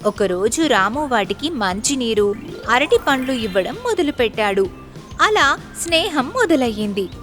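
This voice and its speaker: High-pitched, animated woman storyteller. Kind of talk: scripted children's moral-story narration.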